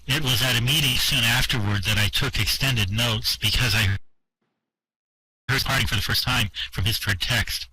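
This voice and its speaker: A man narrating a first-person story in an amateur radio drama with steady prose reading. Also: medium pitch, moderate pace, breathy edge, poor audio.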